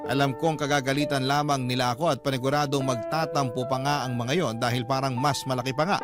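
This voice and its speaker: A man reading scripted first-person narration as the storyteller of a Filipino radio drama. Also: medium pitch.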